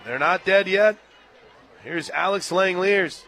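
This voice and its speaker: A man calling a live softball game on radio from a stadium booth, climbing into excited shouts on big plays and laughing easily. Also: sits high, unhurried, some chatter behind, a little hiss or hum. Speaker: radio play-by-play announcer. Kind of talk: live radio sports play-by-play.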